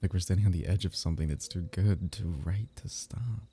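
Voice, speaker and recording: Young man, ASMR roleplay, soft and teasing, dark mellow tone, husky edge. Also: sits low, a little fast. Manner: soft, intimate, teasing, drifting into whispers.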